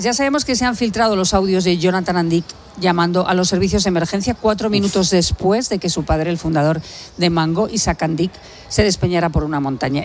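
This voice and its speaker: A woman presenting lively news chat on radio, with teasing, ironic banter. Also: lowish voice, slight background noise.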